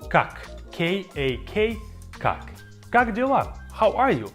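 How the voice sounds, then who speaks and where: An auto-dubbed teacher's voice walks through beginner phrases, repeating each word slowly. The pitch moves in a lively way, and faint music plays underneath. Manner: slow, repeats words for learners. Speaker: language teacher. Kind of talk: scripted lesson narration.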